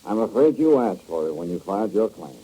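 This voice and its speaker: An actor playing a tough, wry insurance investigator in a 1950s radio detective drama, blunt and sardonic in delivery. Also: male voice, fairly low pitch, very animated, brisk, mellow, breathy edge, rough recording. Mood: sardonic accusing.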